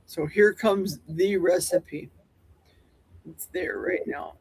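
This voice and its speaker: Husky, enthusiastic livestream chatter. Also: medium pitch, takes it slowly. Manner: enthusiastic, laughs easily. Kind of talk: casual livestream chat.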